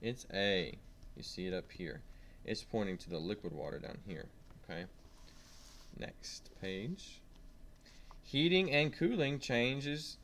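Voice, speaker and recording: A man's voice: on the low side, expressive, slow pace, pauses often, a bit bright, a little hiss or hum. A man reading a science lesson aloud to young children, stressing key words and asking simple questions as he goes. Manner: simple questions and prompts to the listener.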